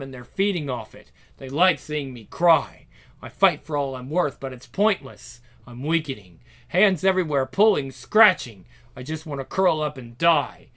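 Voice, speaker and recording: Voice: medium pitch, fairly bright tone, close to an everyday voice. A performer voicing a troubled, sarcastic, self-conscious young teenage girl in a dramatic inner monologue for a story. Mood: hysterical, despairing.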